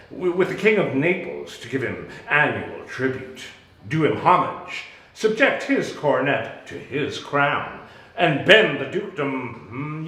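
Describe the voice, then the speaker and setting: Man acting slow, dramatically swinging Shakespearean wronged duke. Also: fairly high pitch, pushed and bright, heavily acted. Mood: scornful, aggrieved. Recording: echoey room.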